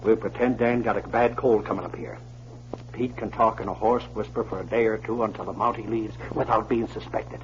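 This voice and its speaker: Actor as sly folksy prospector, muffled old radio. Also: male voice, lowish voice, steady tone, fairly quick, a little hiss or hum, lightly acted. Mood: sly, scheming. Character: scheming, folksy male prospector, sly ringleader. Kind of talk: 1940s radio acting.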